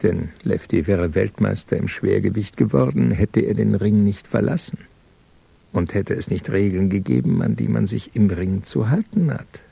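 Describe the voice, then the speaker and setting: An actor playing a wry, laconic first-person narrator in a hardboiled radio crime drama, gravelly and deadpan, with measured delivery. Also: male voice, fairly low pitch, no echo, exaggerated voice. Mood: deadpan, ironic. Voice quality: gravelly, husky.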